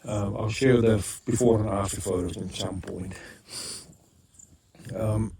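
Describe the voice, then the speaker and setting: A man's voice: on the low side, steady tone, unhurried, vocal fry, background noise. A South African man talking casually in a rambling home vlog, often stopping to correct himself. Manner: rambling, self-correcting, frequent ums. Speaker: vlogger. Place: rural homestead.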